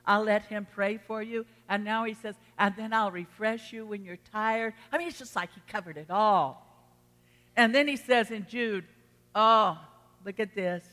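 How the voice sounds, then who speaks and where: A woman's voice: mid-range voice, normal speed, fairly bright tone. A woman preacher with a Texan accent delivering a sermon to a congregation, emphatic, repeating phrases and posing rhetorical questions. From Texas. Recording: reverberant hall.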